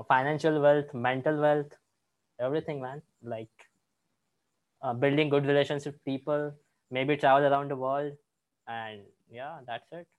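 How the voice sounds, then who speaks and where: A man in his early twenties with an Indian accent, hosting a casual chat in an informal, halting way. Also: fairly high pitch, low-quality recording. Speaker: podcast host.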